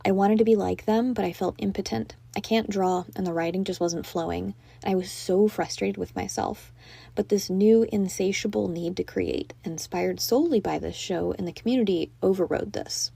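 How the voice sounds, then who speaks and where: A woman's voice: medium pitch, moderate pace, vocal fry, dark tone, husky, no echo. A young woman reading out a prepared personal testimonial as a listener's voice message.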